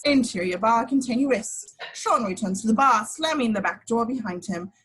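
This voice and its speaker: Slightly husky woman reading stage directions. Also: on the high side, lively intonation, moderate pace, fairly bright tone, poor audio. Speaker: narrator reading action description. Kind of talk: scripted screenplay table read narration. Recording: home recording.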